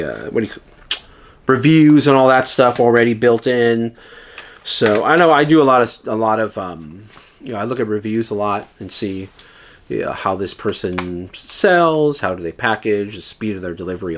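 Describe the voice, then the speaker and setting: A man talking casually to his viewers, a bit nasal and dry-throated from illness, rambling with lots of fillers and restarts. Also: medium pitch. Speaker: reseller YouTuber. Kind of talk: casual vlog advice to viewers.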